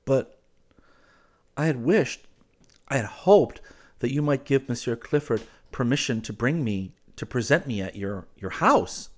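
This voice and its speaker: Man playing an eager, courteous young gentleman, raised voice. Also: medium pitch, expressive, measured pace, voice pushed up, close to an everyday voice. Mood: earnest, flustered. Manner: courteous, earnest, stammering when flustered. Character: eager, polite, naive young gentleman abroad.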